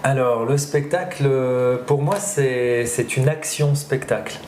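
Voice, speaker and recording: A man answering interview questions as a theatre director, explaining things haltingly as he thinks aloud. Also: medium pitch, darkish tone. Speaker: theatre director and drama teacher being interviewed. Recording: roomy echo, faint background noise.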